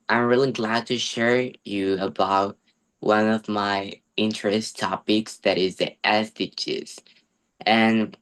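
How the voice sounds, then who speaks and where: Eager low-voiced teenage man on a call. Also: a bit bright. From El Salvador. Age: teens. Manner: eager, friendly, with fillers. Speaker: international webinar speaker. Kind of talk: prepared presentation on video call. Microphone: video call.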